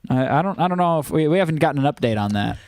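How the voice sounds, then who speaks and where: A man's voice: fairly high pitch, expressive, very fast, darkish tone, no echo, clean studio sound. A man co-hosting a live stream in casual chat, talking in a chatty, playfully exclamatory way.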